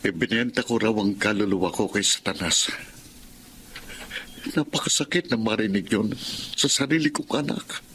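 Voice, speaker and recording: A man's voice: lowish voice, normal speed, pauses often, fairly bright tone, slight background noise, lightly acted. An actor playing a remorseful middle-aged father in a radio drama, sorrowful, pleading and deferential. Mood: hurt remorse. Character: remorseful, troubled father trapped in criminal work. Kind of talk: Tagalog radio drama acting.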